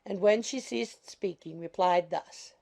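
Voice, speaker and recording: A woman's voice: lowish voice, unhurried, vocal fry, a little mellow. A woman reading scripted narration of a classic novel as an audiobook narrator.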